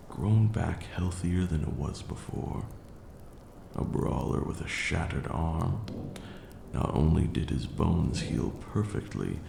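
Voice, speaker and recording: Over rain and thunder in a roomy echo comes an ancient vampire lord, acted by a man. He speaks slowly in an extremely deep voice, hushed and formal. He is proud yet wistful and tender, like a storyteller in an intimate ASMR roleplay. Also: gravelly, noisy background, full character voice. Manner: measured, formal, hushed, with long pauses.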